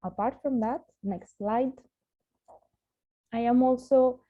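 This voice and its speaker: A Greek woman, a native Greek speaker, presenting her youth ambassador work on a webinar in a steady, prepared way. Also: on the high side, takes it slowly, dull audio. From Greece. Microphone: video call.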